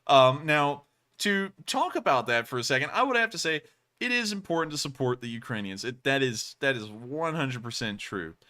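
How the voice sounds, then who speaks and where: A young man co-hosting a live stream, talking in a highly fluctuating, high-pitched voice. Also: moderate pace. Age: young adult. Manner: animated, high-pitched, swooping delivery.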